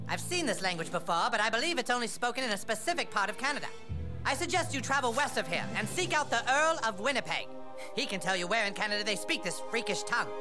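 An actor playing a pompous, petty Canadian prince in a comedy game, with a haughty, mock-grand delivery. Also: male voice, high-pitched. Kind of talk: cartoon comedy game acting.

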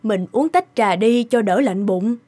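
An actress playing a gentle, caring wife in a folktale cartoon. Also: female voice, medium pitch, unhurried, close to an everyday voice. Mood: soothing. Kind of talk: cartoon dubbing. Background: music.

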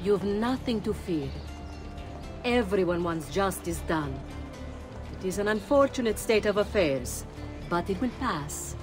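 A woman acting as a middle-aged noblewoman with an Italian accent. She is poised and knowing, with lively intonation in a medium-pitched voice, and game music plays behind her. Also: measured pace, close to an everyday voice. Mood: serious, reassuring. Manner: composed, knowing. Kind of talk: dramatic video game acting.

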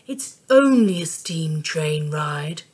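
A woman voicing a calm mother who answers in a soothing, unhurried way. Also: low-pitched, husky, exaggerated voice.

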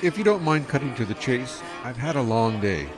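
A man's voice: medium pitch, lively intonation, brisk, music in the background, natural voice. An actor playing an easygoing young male starship pilot who talks in a casual, laid-back way in an audio drama.

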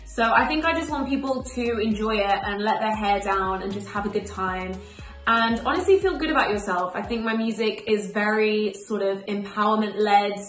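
A woman's voice: medium pitch, faint music behind. Upbeat chatty young woman, roomy sound. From Spain. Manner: upbeat, chatty, lists things quickly. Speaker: emerging singer interviewed. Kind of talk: casual to-camera answers. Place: small bright room indoors.

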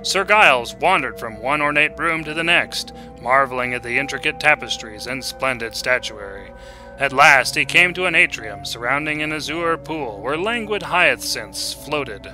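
A man's voice: mid-range voice, expressive, normal speed, bright, forceful tone, faint music behind, poor audio. A man reading a short story aloud as dramatic scripted narration.

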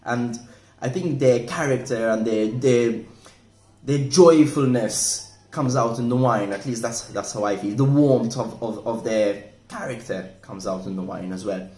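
A man in his forties, casually reviewing a wine at a table indoors, relaxed and thoughtful, often repeating words as he restarts. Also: mid-range voice, a little slow. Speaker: wine shop manager reviewing wine. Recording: echoey room with faint noise.

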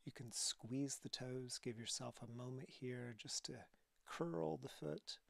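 A man leading a guided relaxation as a yoga teacher, speaking calmly and softly with breathy phrases and long pauses. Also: medium pitch, no echo. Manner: calm, soothing instruction.

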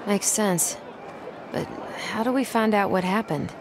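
A woman's voice: medium pitch, measured pace, a crowd in the background, noisy background, natural voice. An actress playing an eager, friendly young frontier woman in a western video game, direct in her manner. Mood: friendly, earnest. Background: music.

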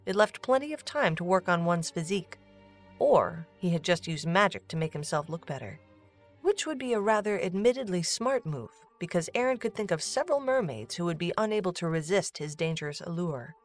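Low-voiced woman narrating a story. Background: music.

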